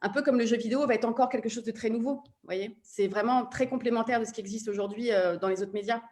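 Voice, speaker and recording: A woman's voice: a little high, fast talker, a little mellow. A woman explaining her view on a discussion panel, often searching for words. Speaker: audio platform panelist.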